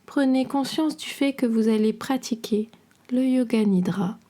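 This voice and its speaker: A woman guiding a relaxation meditation in a soothing, measured, instructional way, her soft voice turning breathy at the ends of phrases. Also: on the high side. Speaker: podcast host and yoga nidra guide.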